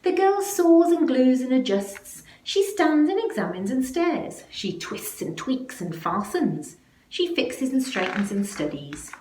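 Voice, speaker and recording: A woman reads a picture book to children with very lively, swooping intonation. She takes her time, stressing the action words dramatically. Her voice rings in a roomy space with a little noise behind it. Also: high-pitched. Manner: deliberate, dramatic phrasing with emphasis on action words. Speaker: school staff member reading a picture book aloud. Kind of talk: expressive children's storybook read-aloud. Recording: roomy, echoey, faint background noise.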